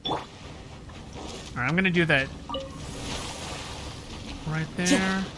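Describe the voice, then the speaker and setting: A man's voice: on the high side, slow pace. Wry young man muttering over gameplay. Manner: muttering to himself, wry and puzzled. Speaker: streamer. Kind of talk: casual gameplay commentary. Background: game music.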